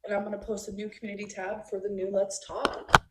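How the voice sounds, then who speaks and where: A woman's voice: mid-range voice, flat delivery, fast talker, warm tone, husky. A woman chatting in a rambling, bubbly way as she answers viewer questions in a vlog. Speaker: YouTuber being reacted to.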